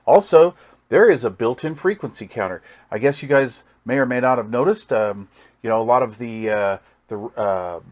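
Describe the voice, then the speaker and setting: A man giving a casual hands-on product demonstration, thinking aloud with some hesitation as he goes. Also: medium pitch. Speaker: radio review host.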